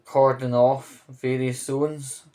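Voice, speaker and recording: A man giving off-the-cuff spoken commentary on local news, rambling, pausing mid-phrase and checking in with the listener. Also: medium pitch, flat delivery, warm tone.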